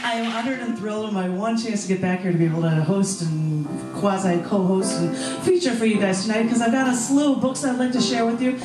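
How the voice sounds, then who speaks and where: A woman's voice: medium pitch, a little fast, hardly any pauses. A woman performing and hosting at a live poetry open mic, speaking to the room from a stage. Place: small bar venue stage at an open mic. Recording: echoey live stage, distant mic, music behind.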